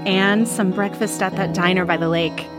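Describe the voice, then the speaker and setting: An affectionate wife played by a woman in an audio drama. Her voice is medium in pitch, easygoing and soothing, with music clearly playing behind it. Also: steady tone, normal speed, close to an everyday voice. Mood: tender, relaxed. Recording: music under the voice.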